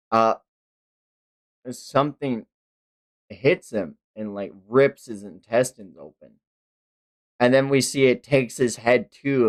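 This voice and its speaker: A man streaming a casual manga read-along, narrating with amused, sweary commentary. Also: fairly low pitch. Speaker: streamer reading manga aloud.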